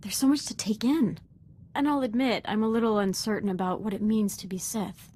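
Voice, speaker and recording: A woman's voice: medium pitch, a little fast, warm tone, a little hiss or hum, lightly acted. A young woman acting as a devoted, fervent Sith apprentice in a video game, speaking in an earnest, deferential and articulate way. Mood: reverent, eager.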